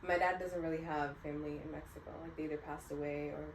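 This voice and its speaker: Low-voiced woman in a roomy space. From United States. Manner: relaxed, rambling, many "um" pauses. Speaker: podcast co-host. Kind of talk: casual chat. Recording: roomy echo, mic at a distance, faint hiss.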